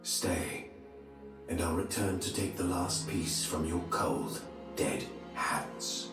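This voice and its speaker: An actor playing an arrogant, scornful crusader warlord with a pompous, taunting delivery in a game cutscene. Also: male voice, sits low, slow. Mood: cold menace. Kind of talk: dramatic video game cutscene acting. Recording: distant, very echoey, loud music behind.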